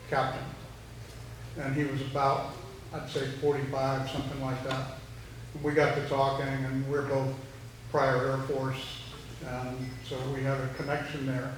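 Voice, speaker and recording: Male audience member with a flat, even delivery, telling a story conversationally, far off in a very echoey room. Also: medium pitch, unhurried. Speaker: audience member, Air Force veteran. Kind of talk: conversational anecdote. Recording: very echoey room, distant, faint noise.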